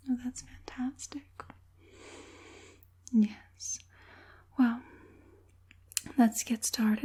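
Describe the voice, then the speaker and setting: A woman playing a softly menacing evil witch puppet master in an ASMR roleplay, whispering breathily with a coaxing, politely sinister manner. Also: a little high, breathy edge.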